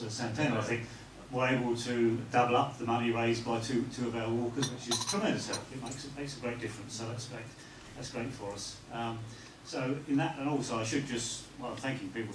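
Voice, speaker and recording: Halting man in an echoey, noisy room. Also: medium pitch, far from the mic. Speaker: club member presenting fundraising cheques. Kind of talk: informal thank-you speech.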